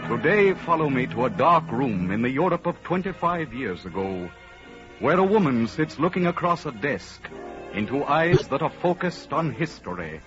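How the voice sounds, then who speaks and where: A man's voice: medium pitch, sing-song, moderate pace, close to an everyday voice. A man as a grandiose spirit of adventure. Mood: grand, rousing. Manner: grandiose, theatrical, declamatory. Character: spirit of romantic adventure, grand male narrator. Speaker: narrator. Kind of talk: 1930s radio drama narration. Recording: muffled old 1930s radio with music.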